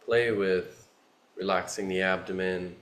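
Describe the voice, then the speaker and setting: A man leading a guided meditation as a teacher, speaking in a soothing, unhurried manner with long pauses. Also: sits low, fairly even intonation, fairly bright tone. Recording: roomy, echoing space.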